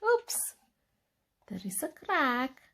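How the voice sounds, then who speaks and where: A woman reading a picture book aloud to young children in an expressive, deliberate, sing-song storytelling manner. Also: sits high, creaky.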